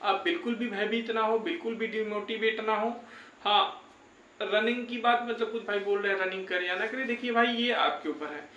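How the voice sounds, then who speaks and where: A man's voice: sits high, moderate pace, sharp tone, highs cut off, a little hiss or hum. A young man giving an informal, reassuring update to viewers, often repeating his phrases. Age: young adult. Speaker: YouTube exam-update presenter. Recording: echoey, roomy sound.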